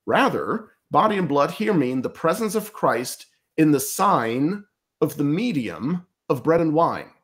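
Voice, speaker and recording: Man, native German speaker, thirties, video call, home study, emphatic, halting. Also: on the high side. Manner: earnest, emphatic, halting with many restarts and fillers. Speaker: guest commentator. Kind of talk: critical theological discussion on a video call.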